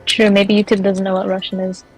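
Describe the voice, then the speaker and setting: A woman's voice: medium pitch, monotone, brisk, darkish tone, rough recording. Playful young woman on voice chat. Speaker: streamer's friend on voice chat. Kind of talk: casual chat. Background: faint game music.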